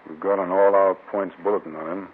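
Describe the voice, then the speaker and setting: Male, American, brisk police captain acted by a man, low pitch, quick delivery, muffled old radio sound. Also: steady tone, a little hiss or hum, lightly acted. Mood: businesslike. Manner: brisk, businesslike. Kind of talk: 1950s radio detective drama.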